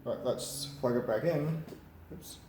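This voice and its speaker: A man's voice: mid-range voice, slow pace, dark tone. A man giving a casual hands-on demonstration, tentative and thinking aloud as he works. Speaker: vintage radio repair hobbyist narrating. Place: indoor room at a cluttered workbench. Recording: echoey room with faint background noise.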